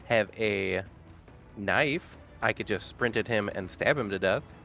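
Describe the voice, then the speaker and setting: A man with a fairly low voice plays in a tabletop game. He talks eagerly and reads rules aloud. Faint music sits behind him. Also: a little slow, creaky, sharp tone. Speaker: player. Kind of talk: casual game talk.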